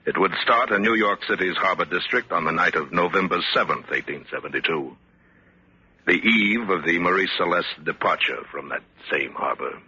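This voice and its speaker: An actor playing a grave narrator of a sea mystery in an old radio drama, measured, solemn and deliberate. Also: male voice, sits low, bright, forceful tone, slightly rough, full character voice. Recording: muffled vintage radio recording.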